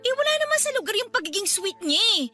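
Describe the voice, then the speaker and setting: A stressed young female medical student in a radio drama. Her voice is high and bright, with lively swings as she complains in an exasperated, irritable way. Music plays behind her. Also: quick pace, heavily acted. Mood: frustrated, complaining. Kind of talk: radio drama dialogue in Filipino.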